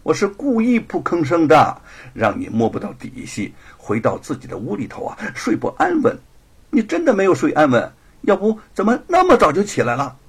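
A man voicing a sharp-tongued, coquettish young mistress in an audiobook story. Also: high-pitched, wildly swooping pitch, a little fast, breathy edge, voice pushed up, full character voice. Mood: coy mockery. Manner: coquettish, biting.

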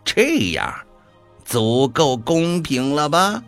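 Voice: medium pitch, big pitch swings, very slow, fairly bright tone, faint music behind, exaggerated voice. An actor playing a mocking devil in a radio drama, gleefully taunting with theatrical delivery. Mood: sly, deliberate. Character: mocking devil gleefully wagering on a world's fate.

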